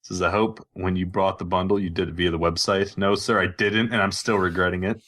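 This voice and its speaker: Low-pitched male podcast host, informal gamer conversation. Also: flat delivery, fairly quick, a bit bright. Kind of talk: casual gaming podcast chat.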